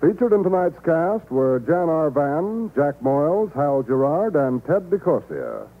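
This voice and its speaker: A thin, band-limited old broadcast carries a male announcer with a slightly high, very animated voice. He reads in the polished, emphatic promotional style of fifties network radio. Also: unhurried, narrow, tinny audio.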